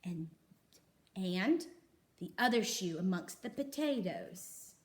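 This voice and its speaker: Slow, animated woman reading a children's story. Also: medium pitch. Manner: deliberate, with dramatic pauses for young listeners. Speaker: storybook reader. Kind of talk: expressive read-aloud of a children's story.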